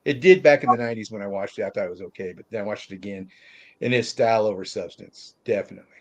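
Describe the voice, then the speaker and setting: A man's voice: fairly low pitch, fast talker. A middle-aged man hosting a movie discussion in a loose, conversational way, often saying "you know.". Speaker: host of a movie review show.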